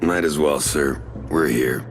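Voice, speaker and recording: An actor playing a gruff, sardonic, world-weary space marine in a video game, with a gravelly, dry drawl. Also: male voice, rumbling low, steady tone, measured pace, over music. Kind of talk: video game cutscene acting.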